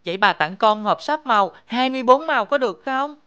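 A woman's voice: a little high, expressive, fast talker, pushed and bright, clear, resonant tone, muffled recording. A woman voicing an eager, demanding, innocent little boy in a children's cartoon, childlike and pleading, with a southern Vietnamese accent. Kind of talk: animated children's cartoon dubbing. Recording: music under the voice.

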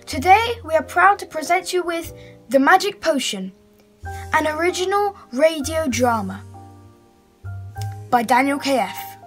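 A woman's voice: high-pitched, expressive, unhurried, pauses often, faint music behind. A woman announcer giving a scripted introduction to a radio drama.

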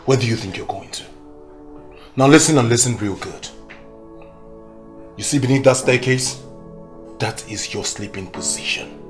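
Volatile young Nigerian man, film drama; highly animated, repetitive, blustering coward. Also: a little fast, reverberant, soft background music. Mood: bullying. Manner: repeats words in frantic bursts. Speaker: actor. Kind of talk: Nollywood film drama acting.

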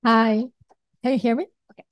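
A woman's voice: fairly high pitch, fairly even intonation, brisk. A middle-aged woman with a Japanese accent, presenting to a conference audience as an investor, hesitant with frequent ums and restarts. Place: conference hall.

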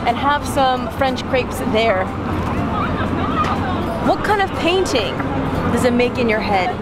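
A woman's voice: on the high side, big pitch swings, slow pace. A young woman hosting a food vlog, giving bubbly, playful commentary as she tastes dishes at an outdoor night market. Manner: bubbly, playful, describes flavors with silly comparisons. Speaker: food vlogger host. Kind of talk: casual food tasting commentary. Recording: loud crowd and music behind.

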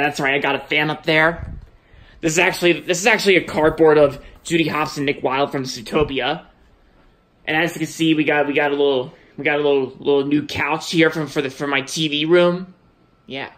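A man's voice: a little high, a bit bright. A young man filming a casual tour of his new house on a handheld phone, walking room to room and talking in a rambling way, with many repeated words and restarts. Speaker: vlogger giving a house tour. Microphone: handheld phone camera held by the speaker, off screen.

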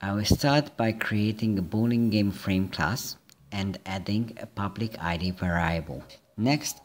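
Low-voiced man narrating a coding screencast. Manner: calm, methodical, explaining each step. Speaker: programming tutor. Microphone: voice-over over screen recording. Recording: soft background music.

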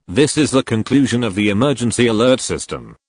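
A man's voice: mid-range voice, animated, fairly quick, breathy edge, dry recording. A man playing an impersonal emergency alert announcer reading a formal public warning.

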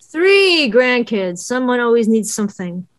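A woman's voice: on the high side, sing-song, unhurried, no room sound. Woman reading a chatty middle-aged driver, dry sound. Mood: friendly. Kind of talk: online table read of a screenplay. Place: indoor room, video call.

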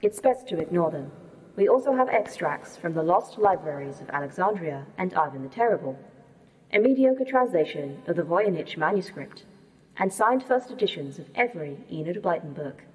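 Casting: woman as facility A.I., low female voice, polite, measured, deadpan, long reverberant hall, sci-fi podcast. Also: close to an everyday voice. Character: polite, literal-minded A.I. of secret facility. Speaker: actor in sci-fi horror audio drama. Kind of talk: audio drama acting. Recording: long hall reverb, faint background ambience.

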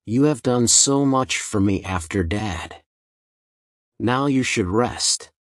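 A woman reading a gentle grown son, low. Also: lively intonation, slow, dry recording, studio quality, exaggerated voice. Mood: tender. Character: young adult son, gentle and caring.

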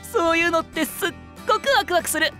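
A man's voice: extremely high pitch, quick pace, pushed and bright, faint music behind, exaggerated voice. An actor playing a cheerful, childlike young male band vocalist in an anime voice drama, with a bouncy, eager manner. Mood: excited. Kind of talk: Japanese anime voice drama acting.

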